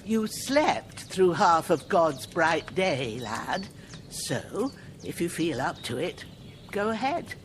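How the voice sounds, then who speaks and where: An actress playing an older village healer, gruff and motherly, talking in folksy rustic speech with a lively, slow delivery. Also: on the low side, slight background noise. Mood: bossy. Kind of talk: video game dialogue.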